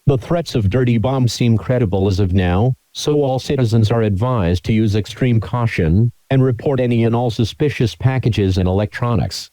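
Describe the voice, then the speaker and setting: Dark, dry male emergency alert announcer. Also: mid-range voice, moderate pace, hardly any pauses, dry recording, rough recording. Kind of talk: scripted formal emergency broadcast announcement.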